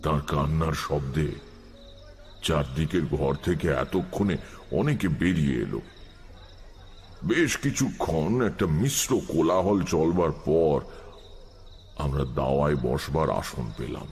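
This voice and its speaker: Very deep-voiced male story narrator. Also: animated, slow, long pauses, a little mellow, a little hiss or hum. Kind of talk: scripted horror story narration. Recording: faint night-insect sounds behind.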